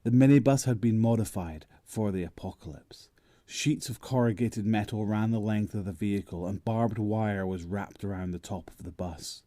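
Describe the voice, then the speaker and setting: A man's voice: fairly low pitch, dark tone, dry recording. A man narrating an audio drama, reading scripted dramatic prose. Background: music.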